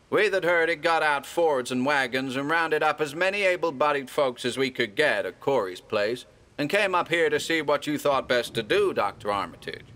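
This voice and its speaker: A man's voice: a little high, pushed and bright. A man voicing an anxious rural villager who urgently retells events in folksy country speech in a horror audio drama.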